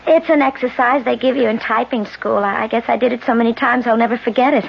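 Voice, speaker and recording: An actress playing a bewildered, frightened young secretary, hesitant and emotive, in 1970s radio drama style. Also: female voice, fairly high pitch, steady tone, fast talker. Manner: breathy exclamations, hesitant, emotive. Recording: muffled, noisy old radio broadcast recording.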